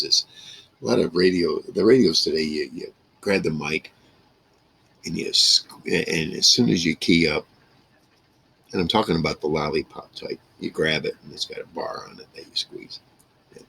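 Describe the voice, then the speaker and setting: Older guy in his 60s, super low raspy voice, kinda sharp edge, rambling in broken bits. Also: normal speed, long pauses, rough recording. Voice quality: gravelly, rough. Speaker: vlogger reminiscing about a radio hobby. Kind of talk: casual rambling monologue to camera. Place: small wood-paneled room indoors.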